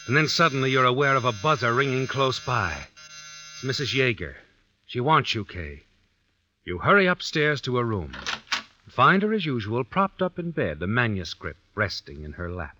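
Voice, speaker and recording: Sharp, swooping ominous male narrator. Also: medium pitch, sing-song, moderate pace, a little hiss or hum. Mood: ominous, knowing. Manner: insinuating, dramatic, second-person address. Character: ominous, knowing male storyteller needling the heroine. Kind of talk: old-time radio suspense narration. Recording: dull vintage radio recording with music.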